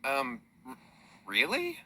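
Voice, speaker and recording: Anxious, sharp-voiced young swordsman. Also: mid-range voice, huge pitch swings, slow pace, slightly rough, exaggerated voice. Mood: flustered, deferential. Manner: pleading, earnest, deferential. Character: anxious, earnest, deferential young swordsman seeking help. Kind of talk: English video game voice acting.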